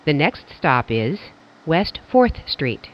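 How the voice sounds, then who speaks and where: An automated-sounding subway announcer reading stops and transfers in flat, measured phrasing. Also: mid-range voice. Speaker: train announcer. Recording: dull lo-fi audio.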